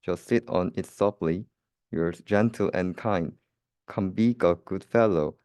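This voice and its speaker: A young man reading a children's rhyming story aloud in a careful, slightly halting manner. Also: low-pitched, darkish tone, clean tone, no echo, studio quality. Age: young adult. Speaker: student reader.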